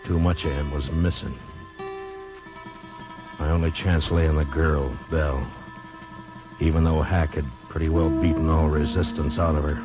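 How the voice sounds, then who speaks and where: An actor playing a hard-bitten frontier marshal with an American accent, narrating and speaking tersely and grimly in an old-time radio Western. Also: male voice, rumbling low. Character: steady, hard-bitten frontier marshal telling his story. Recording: dull old radio recording with music.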